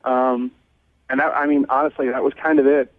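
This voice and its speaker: A man's voice: mid-range voice, level tone, moderate pace, dry recording. A man calling a radio show, casually telling a personal story in an earnest way over the telephone. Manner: earnest, serious. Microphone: telephone call. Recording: tinny narrow phone line.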